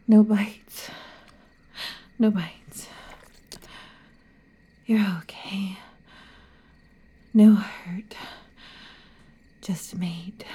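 A woman's voice: medium pitch, slightly rough, lo-fi sound, exaggerated voice. A woman voicing a possessive, needy female werewolf lover in a whispered, intimate audio roleplay, murmuring and often whispering.

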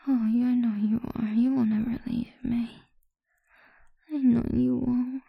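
Woman playing a possessive, cruel mistress, breathy whisper, gravelly, unhurried, flat delivery, muffled dry sound. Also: on the high side, dry recording, exaggerated voice. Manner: slow, taunting and degrading. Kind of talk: whispered audio roleplay.

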